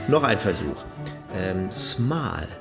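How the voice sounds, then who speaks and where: A man's voice: low-pitched, very animated, extremely slow, gravelly, over music, heavily acted. A man playing an eager, pedantic enthusiast who coaxes and lectures persuasively in a conversational radio drama. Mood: coaxing, eager. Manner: coaxing, lecturing.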